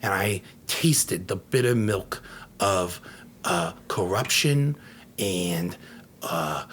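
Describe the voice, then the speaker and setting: A man's voice: deep voice, lively intonation, very slow, fairly bright tone, slight background noise. A man co-hosting a comedy podcast, bantering in a crude, rambling, mock-grandiose way and repeating himself. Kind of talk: unscripted comic banter.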